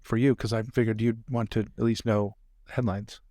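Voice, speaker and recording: A man's voice: fairly low pitch, little pitch movement, moderate pace, mellow, no echo, clean studio sound, pitched below the performer's own voice, natural voice. A man improvising a fussy, chatty, drily teasing bodyguard and former fencing professor in a tabletop roleplay. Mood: fussy, domestic.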